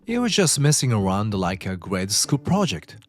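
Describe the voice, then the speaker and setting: A man's voice: fairly low pitch, big pitch swings, normal speed. A man voicing a childish, selfish, demanding husband in a dubbed story drama, whiny, belittling and blustering. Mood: petulant, belittling. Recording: background music under the voice.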